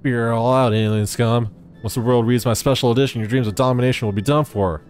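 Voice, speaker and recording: Man doing a loud, blustering, paranoid reporter. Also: mid-range voice, steady tone, fast, faint music behind, close to an everyday voice. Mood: indignant. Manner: melodramatic, indignant. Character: blustering, paranoid male reporter ranting at alien invaders. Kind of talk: dramatic voicing of game dialogue.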